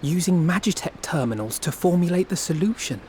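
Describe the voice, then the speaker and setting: A man's voice: on the high side, animated, fairly quick, warm tone, slight background noise, natural voice. A man playing an eloquent young scholar. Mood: earnest. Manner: polished, eloquent, formal. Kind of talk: video game voice acting.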